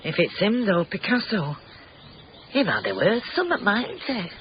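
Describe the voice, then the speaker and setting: Working-class Yorkshire wife, animated intonation, curious, down-to-earth, chatty, radio drama, muffled recording. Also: female voice, medium pitch, moderate pace. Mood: curious, wistful. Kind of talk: naturalistic radio drama acting. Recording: dull radio recording with some background noise.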